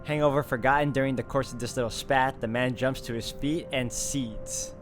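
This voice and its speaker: A man hosting a gameplay video, reading visual novel narration aloud as an expressive storyteller and adding asides. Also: mid-range voice, moderate pace. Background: music.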